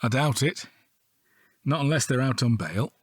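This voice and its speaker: An actor with a British accent playing a dry, teasing police detective and long-married husband in an audio drama. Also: male voice, mid-range voice, lively intonation, moderate pace, slightly rough, no room sound. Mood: matter-of-fact. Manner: wry, dry-humoured. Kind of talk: naturalistic audio drama acting.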